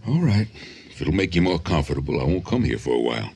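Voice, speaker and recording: A man's voice: rumbling low, big pitch swings, fast, creaky, dark tone, husky, heavily acted. An actor playing a woman's secret lover in a radio drama, firm, rational and placating, with a brusque reassurance. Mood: dismissive calm.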